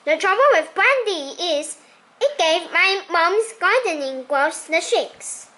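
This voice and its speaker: Very high-voiced woman reading a children's story. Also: animated, slow, sharp tone. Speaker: storybook reader. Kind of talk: expressive children's story read-aloud.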